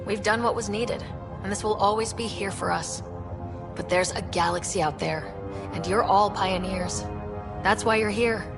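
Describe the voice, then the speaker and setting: A woman's voice: medium pitch, moderate pace, creaky, close to an everyday voice. A woman playing an upbeat, hopeful young explorer who leads a space crew in a video game. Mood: resolute. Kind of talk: video game cutscene acting. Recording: music behind the voice.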